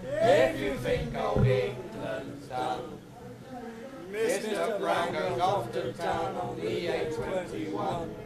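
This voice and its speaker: A group of men singing a song together in chorus. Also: male voice, high voice, slow pace, long pauses, darkish tone.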